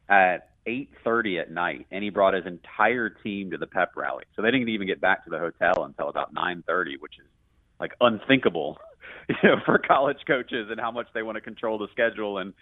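Low voiced guy chatting football as a radio guest, very dry muffled audio. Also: dry recording. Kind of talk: conversational sports analysis.

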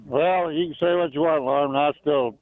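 Older American caller on phone line. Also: male voice, fairly high pitch, moderate pace, dry recording. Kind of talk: casual sports fan talk. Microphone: telephone. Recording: thin muffled phone line.

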